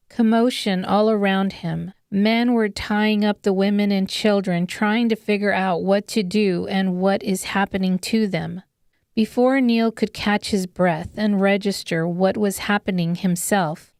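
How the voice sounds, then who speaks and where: Female narrator for dramatic fiction audiobook. Measured pace, resonant tone, very dry sound. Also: medium pitch, gravelly, darkish tone, clear, resonant tone, dry recording. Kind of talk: scripted dramatic story narration.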